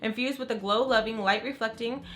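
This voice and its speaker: A young woman who is sick and raspy, chatting excitedly in a casual beauty unboxing. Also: a little high, brisk. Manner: gushing, upbeat, says "freaking" a lot. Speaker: beauty vlogger. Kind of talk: casual unboxing chat to camera.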